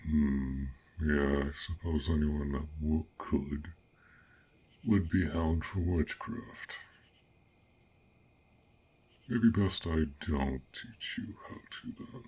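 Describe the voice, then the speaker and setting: A man playing a gentle, curious dragon in a roleplay audio drama, with formal, old-fashioned, pensive speech. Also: very deep voice, slow pace, a little hiss or hum.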